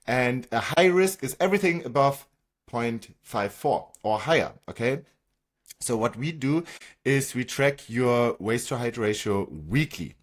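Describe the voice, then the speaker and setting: A man with a German accent, a native German speaker, talking as a fitness coach in an informal explainer, often checking in with "okay?" or "right?". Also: mid-range voice. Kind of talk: informal explainer talk with slides.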